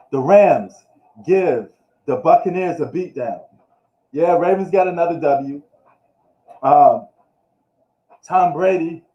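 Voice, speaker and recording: Slangy man ranting in an echoey room. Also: a little high. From New York. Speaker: sports streamer. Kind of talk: casual live sports recap. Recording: echoey room, dull lo-fi live stream.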